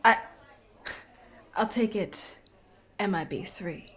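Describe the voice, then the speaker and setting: A woman's voice: mid-range voice, expressive, takes it slowly, a bit bright. A woman playing a cheeky, unflappable small-time villain in a comedic audio play, flippant and taunting in manner. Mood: casual, quirky.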